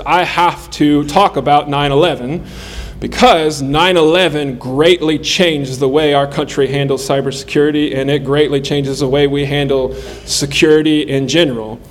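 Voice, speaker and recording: A man in his forties preaching a sermon in an earnest, measured way, pausing between phrases. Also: a little high. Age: 40s. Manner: earnest, measured delivery with pauses.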